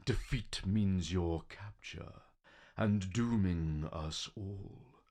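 An actor playing a grim, sage stone being in an audio play, portentous and formal in manner. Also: male voice, low voice, drawn-out words, a little mellow. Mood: warning. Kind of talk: theatrical audio play acting.

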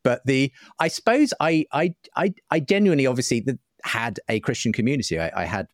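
A man's voice: medium pitch, animated, a little fast, no echo, studio quality. A man speaking reflectively as an interview guest, hesitant and often qualifying himself as he searches for words. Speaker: interview guest, writer and broadcaster. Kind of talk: reflective personal conversation.

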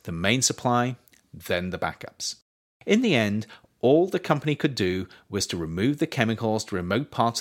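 A man narrates his own nonfiction book, his voice sitting on the low side. He reads in a measured, emphatic way, swinging his pitch up on key words and letting it sink at each sentence's close. The effect is an animated, thoughtful reading. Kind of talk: audiobook narration.

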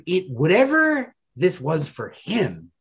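A man's voice: sits high, huge pitch swings, takes it slowly, vocal fry, slight background noise. A man co-hosting a podcast, talking casually and earnestly about stories in informal, slangy language with plenty of emphasis. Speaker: podcast co-host. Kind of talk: casual literary discussion.

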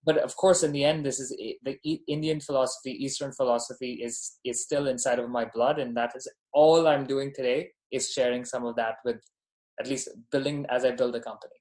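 A man's voice: medium pitch, steady tone, brisk, a little mellow. A man who grew up in Dubai, talking casually, earnestly and emphatically as a guest on a podcast. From born in Bahrain, grew up in Dubai. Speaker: podcast guest, company founder. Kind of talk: casual conversational interview.

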